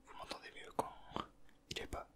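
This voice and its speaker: A man whispering softly in a casual ASMR style, with a breathy, hushed voice. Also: a little fast. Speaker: ASMR video creator. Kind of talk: whispered ASMR, chatting and reading aloud from a booklet.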